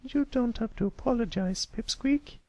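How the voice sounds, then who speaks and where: A man voicing a kindly, concerned female pony schoolteacher in falsetto, in a storybook reading. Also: normal speed, lo-fi sound. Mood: gentle.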